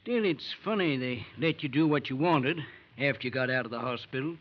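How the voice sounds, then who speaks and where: A man's voice: medium pitch, lively intonation, a little fast, natural voice. An actor playing a plainspoken, probing farmer father in a 1940s radio drama. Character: plainspoken, curious farmer father, gently suspicious. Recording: muffled old radio recording.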